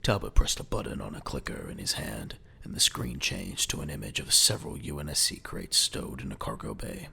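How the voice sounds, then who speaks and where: Very deep, gravelly male narrator. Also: big pitch swings, fairly quick, hardly any pauses, bright, forceful tone. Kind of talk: scripted audio drama narration.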